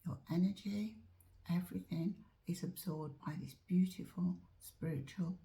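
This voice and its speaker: A woman guiding a meditation in a soft, often whispered voice, slow and drawn-out, a little chesty as she is losing her voice. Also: on the low side, fairly even intonation, vocal fry. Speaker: meditation teacher. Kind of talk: guided meditation.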